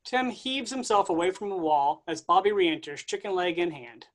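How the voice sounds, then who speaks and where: A man reading stage directions aloud in a staged play reading, steady and plain. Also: high-pitched, moderate pace.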